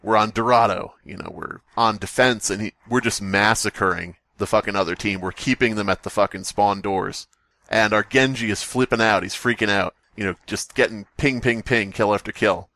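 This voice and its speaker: A man co-hosting a podcast, telling a story to friends in a casual, animated, sweary way. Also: fairly low pitch, moderate pace, no echo. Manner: animated, profane, does voices in anecdotes. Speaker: podcast host.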